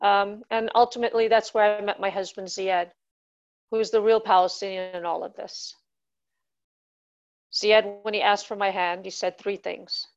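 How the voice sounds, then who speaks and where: A woman's voice: mid-range voice, fairly even intonation, muffled recording. A woman in her fifties from Barbados, telling her life story to an audience in a conversational way with many ums. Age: 50s. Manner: conversational, frequent ums, unhurried. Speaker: speaker at a talk. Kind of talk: personal storytelling talk.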